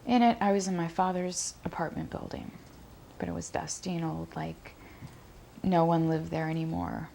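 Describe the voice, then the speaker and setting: A woman's voice: deep voice, flat delivery, normal speed, gravelly, dark tone, slight background noise. A woman playing an anxious, guilt-ridden daughter who recalls family secrets in a hesitant, intimate dramatic monologue. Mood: uneasy, haunted.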